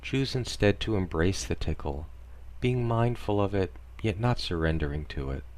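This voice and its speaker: A man narrating a scripted parody guided meditation in a deadpan, soothing instructional manner. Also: low-pitched, normal speed.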